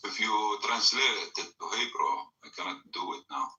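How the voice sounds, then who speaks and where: Emphatic man, bright tense voice. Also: fairly low pitch, animated, normal speed, muffled recording. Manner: broken English with many fillers, emphatic. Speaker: storyteller recounting family memory. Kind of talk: emotional personal storytelling.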